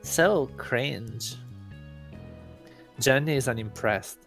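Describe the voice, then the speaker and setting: Male let's play reader, medium-pitched, narrating a visual novel with light background music. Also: very slow, vocal fry, fairly bright tone.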